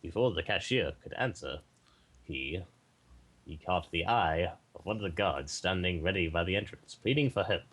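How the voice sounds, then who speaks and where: A man narrates a story as an amateur reader, now and then repeating a word. His voice is low and a little raspy, with lively rises and falls. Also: moderate pace. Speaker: podcast participant reading aloud.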